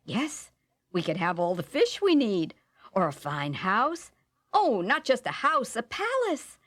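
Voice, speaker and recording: A woman voicing a poor fisherman's wife in a storybook, with a high voice that keeps exclaiming and scolding. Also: huge pitch swings, unhurried, no room sound, full character voice. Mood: dreamy.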